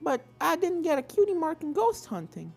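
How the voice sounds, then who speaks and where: A woman voicing an excitable young cartoon filly, girlish and easily flustered in an exclaiming way. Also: extremely high pitch, normal speed, creaky, soft background music, voice pushed up, full character voice. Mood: amazed.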